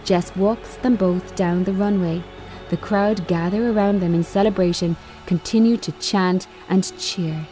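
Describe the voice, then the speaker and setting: Slow, mellow woman reading a screenplay. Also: on the low side, fairly even intonation, soft background music. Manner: measured, short declarative phrases. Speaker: screenplay reader. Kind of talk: scripted narration of screen directions.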